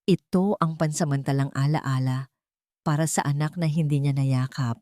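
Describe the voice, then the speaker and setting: A woman's voice: deep voice, normal speed, mellow, no room sound, clean studio sound. A woman with a Filipino accent reading a scripted romantic drama as a story narrator.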